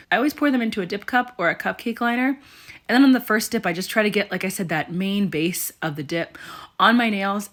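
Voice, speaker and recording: A young woman, slightly raspy because she is sick, hosting a chatty, self-deprecating nail tutorial voice-over. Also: mid-range voice. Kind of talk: casual how-to voice-over.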